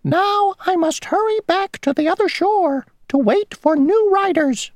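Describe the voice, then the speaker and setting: A woman voicing a tiny, pompous male mosquito ferryman who talks slowly and insists on rules. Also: very high voice, darkish tone, dry recording, studio quality, pitched above the performer's own voice. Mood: self-important. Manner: slow, rule-bound, boastful.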